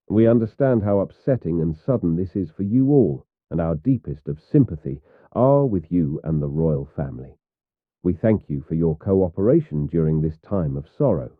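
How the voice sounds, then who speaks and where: A man reading a solemn scripted news bulletin in a formal, measured way, as a news announcer. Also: low voice, no room sound. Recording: tinny, phone-like narrow band.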